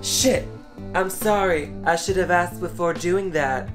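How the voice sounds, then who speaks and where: Woman playing a rebellious young woman, low voice, teasing murmur, confident, guitar music behind. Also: unhurried, close to an everyday voice. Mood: apologetic.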